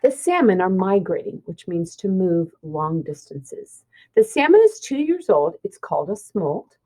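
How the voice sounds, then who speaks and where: A woman's voice: mid-range voice, a little mellow, low-quality recording. A woman teacher reading a children's science book aloud, explaining and pointing things out simply.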